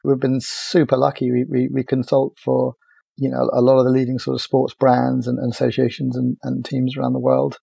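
A middle-aged man, chatting as a podcast guest in a relaxed, halting way full of restarts and fillers. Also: mid-range voice, gravelly, slightly rough, dry recording. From Ireland. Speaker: podcast guest, agency founder. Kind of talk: casual interview chat.

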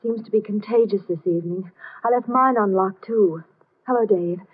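An actress playing a cool, wry stage performer under suspicion in a 1950s radio mystery. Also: female voice, medium pitch, normal speed, husky, phone-quality sound, close to an everyday voice. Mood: cool, polite. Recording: band-limited old 1950s radio recording.

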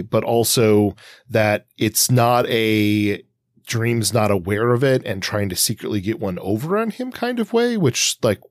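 A man's voice: on the low side, dry recording. A man co-hosting a podcast, thinking aloud in winding sentences as he casually analyses a story. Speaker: podcast co-host. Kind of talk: casual analytical book discussion.

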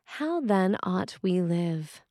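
Slow, measured woman reading a sermon. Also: on the low side, big pitch swings, a little mellow, no echo, studio quality. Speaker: Episcopal priest reading a sermon written by a colleague. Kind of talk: read sermon, scripted narration.

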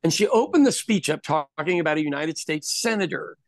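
A middle-aged man who tells stories in casual podcast talk. He drops into the voices of the people he quotes, and he swings his pitch up and down as he goes. His voice is recorded dry and close. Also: a little fast, no echo. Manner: animated storyteller, acts out quoted voices. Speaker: podcast host. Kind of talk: casual conversation.

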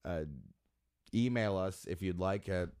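Joking low-voiced man hosting a podcast. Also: unhurried, a little mellow, smooth. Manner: joking, self-deprecating, rambling. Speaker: podcast host. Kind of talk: casual interview chat.

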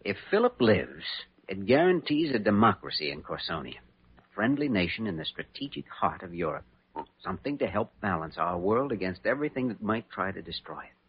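An actor playing an earnest, resolute young surgeon in an old radio drama, speaking in a measured, grave way. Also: male voice, sits low, big pitch swings, husky, heavily acted. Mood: impassioned, resolute. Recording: muffled old radio recording.

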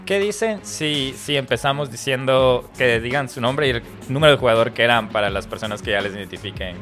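Bright-voiced male podcast host. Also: mid-range voice, expressive, brisk, soft background music. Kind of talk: casual podcast chat with guests.

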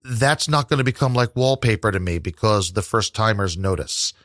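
A man talks at length in a relaxed interview, his voice sitting low. He circles his points with frequent fillers and restarts, thoughtful rather than showy. The recording is close and dry, with no room around him. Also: moderate pace, dry recording. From New York. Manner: thoughtful, rambling with many fillers. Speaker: interview guest, New York tour guide. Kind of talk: casual interview conversation.

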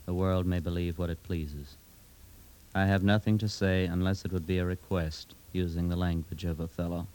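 Man playing a proud old-time outlaw, very low voice, smooth and resonant, formal measured delivery, radio drama. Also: fairly even intonation, a little mellow, lightly acted, low-quality recording. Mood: proud, firm. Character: aging outlaw ex-soldier writing a proud, curt letter. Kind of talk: 1950s radio drama acting, reading a letter aloud.

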